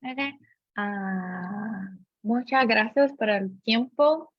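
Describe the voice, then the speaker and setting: Female panelist, Portuguese native, very slow and halting, on dull dry lo-fi remote audio. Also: mid-range voice, no echo. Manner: slow, halting, mixing in English words. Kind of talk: panel discussion remarks.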